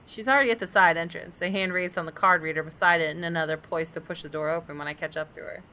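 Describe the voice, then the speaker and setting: A woman's voice: on the low side, steady tone, fast, bright, forceful tone, slight background noise. A woman gamer reading the first-person narration of a visual novel aloud. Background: game music.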